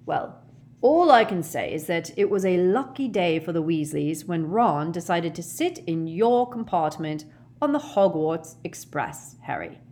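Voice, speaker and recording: A woman voicing a grateful middle-aged father who speaks in a constricted, halting, sincere way in a storybook. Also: fairly low pitch, lively intonation, a little mellow. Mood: choked up.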